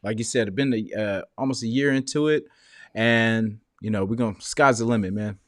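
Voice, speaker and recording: A man's voice: on the low side, fairly quick, no room sound, clean studio sound. A man hosting a fan podcast, laid-back and thanking his guests. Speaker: podcast host. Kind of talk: casual podcast sign-off.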